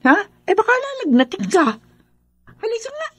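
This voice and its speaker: A woman's voice: very high-pitched, sing-song, normal speed, fairly bright tone, dry recording, heavily acted. A woman with a Filipino accent playing a nagging but caring middle-aged working-class mother in a radio drama. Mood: worried, fussing.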